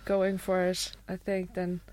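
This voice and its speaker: A woman from Ireland, a podcast guest telling stories slowly with plenty of fillers. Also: on the low side, monotone, a little mellow. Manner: unhurried, halting with fillers. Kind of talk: casual podcast chat.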